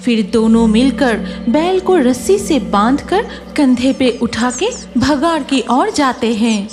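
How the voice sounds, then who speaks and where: A woman's voice: high voice, animated, takes it slowly. A woman narrating a scripted horror story as the storyteller. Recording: music behind the voice.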